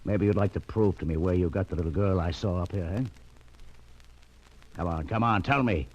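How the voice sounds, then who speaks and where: A deep-voiced police lieutenant from a 1940s radio drama, gruff and suspicious. He questions people in a bossy, brusque way. The old recording is somewhat dull. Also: normal speed, darkish tone.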